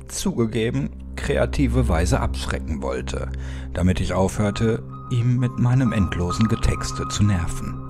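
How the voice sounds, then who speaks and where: Slow-paced man narrating horror. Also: fairly low pitch, gravelly. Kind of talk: scripted horror audiobook narration. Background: music.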